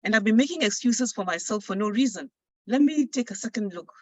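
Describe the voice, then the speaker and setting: A woman's voice: on the high side, lively intonation, brisk, darkish tone, husky, dry recording, dull audio. A woman with a Ghanaian English accent, speaking earnestly and persuasively as a coach moderating an online panel. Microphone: video call.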